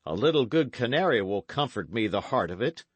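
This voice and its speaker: A man voicing a gruff, wrathful old knight in an audiobook, speaking in archaic, commanding tones. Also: mid-range voice, very animated, a little fast, dry recording. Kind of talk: audiobook character reading.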